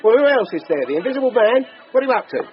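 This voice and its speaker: A man with a London accent acting in a radio drama as a gruff, mocking working-class market butcher. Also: extremely high pitch, very animated, rapid-fire, highs cut off, faint music behind, a faint crowd. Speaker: actor.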